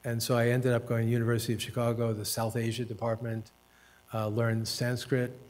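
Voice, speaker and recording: Man, 70s, American author and professor; reflective panel storytelling, dry self-deprecating humor, hesitant pauses. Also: medium pitch, completely flat delivery, a little mellow. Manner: hesitant fillers and restarts.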